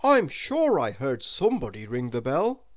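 A kindly older gentleman from a children's storybook, acted out by the narrator. He is helpful and considerate, speaking emphatically with lively rises and falls. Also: medium pitch, normal speed, pitched below the performer's own voice. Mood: helpful, puzzled.

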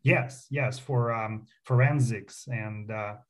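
A man's voice: lowish voice, takes it slowly. A middle-aged man from Russia with a Russian accent, a company founder chatting on a radio show, with many fillers and restarts. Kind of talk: casual radio interview. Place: radio studio.

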